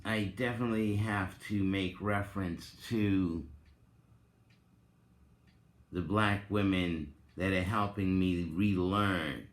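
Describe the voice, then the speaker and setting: A man hosting a dramatic reading and reflective commentary, speaking in a deliberate, emphatic way with pointed emphasis. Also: low-pitched. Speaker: host giving a reading and commentary. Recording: roomy, echoing sound.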